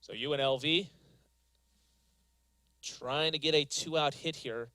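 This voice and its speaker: A man calling live softball play-by-play as a sports announcer, moving between measured stat recaps and calls of the action. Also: a little high, no echo. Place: live broadcast from a softball stadium.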